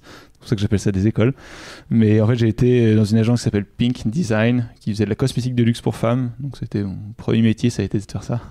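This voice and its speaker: A young man from Normandy talks through his work as an interview guest. His voice sits low and mellow with some gravel in it. He hesitates often and restarts his sentences. The recording is dry and close. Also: dry recording. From Normandy, France. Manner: hesitant, many fillers and restarts. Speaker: UX designer guest. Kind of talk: casual interview answers.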